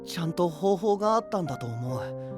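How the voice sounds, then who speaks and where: 抑揚豊かで柔らかな声の、責任感の強い若い王子。アニメのボイスドラマらしく、静かに考え込むような真摯な口調で話し、背景には小さく音楽が流れる。. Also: male voice, a little high, very animated, fairly quick, music in the background. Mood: pensive. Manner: soft, introspective, earnest. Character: gentle young male prince of a sea kingdom. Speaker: voice actor. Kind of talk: anime voice drama.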